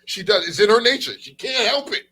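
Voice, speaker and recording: A man co-hosting a casual talk show with profane, slangy, teasing banter, animated and repeating himself for emphasis. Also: sits high, a little fast, slightly rough.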